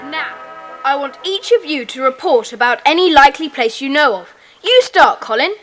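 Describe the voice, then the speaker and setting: Bright, high-voiced bossy schoolboy. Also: female voice, lively intonation, normal speed, faint music behind. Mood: brisk, bossy. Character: bossy, brisk schoolboy leader of a club. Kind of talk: children's audio drama acting. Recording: faintly noisy cassette tape.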